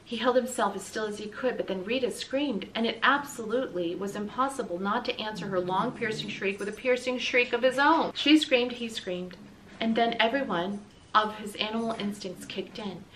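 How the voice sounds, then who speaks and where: Distant-miked middle-aged woman reading a storybook. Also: a little high. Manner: expressive, dramatic, with deliberate emphasis. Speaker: school read-aloud reader. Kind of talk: children's storybook read-aloud narration. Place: small indoor room with bookshelf and blinds. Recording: distant mic, light room noise.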